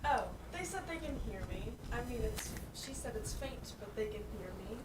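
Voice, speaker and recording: Give me a soft-spoken woman reading comments from far off in an echoey room. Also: on the high side, lively intonation, moderate pace, heavy background noise. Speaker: off-camera helper reading viewer comments.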